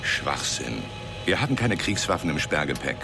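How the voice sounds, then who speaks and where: An actor playing a skeptical, exasperated colleague in a radio drama, scoffing and incredulous. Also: male voice, a bass voice, very animated, normal speed, vocal fry, music in the background, full character voice. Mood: scornful. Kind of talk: naturalistic German radio drama acting.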